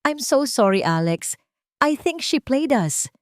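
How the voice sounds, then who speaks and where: A woman's voice: sits high, very animated, measured pace, no room sound, pitched above the performer's own voice. A wealthy, scheming girlfriend from a romance tale, as a woman narrator plays her. She sounds sweetly sympathetic in an affected way. The recording is close and dry. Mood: sugary.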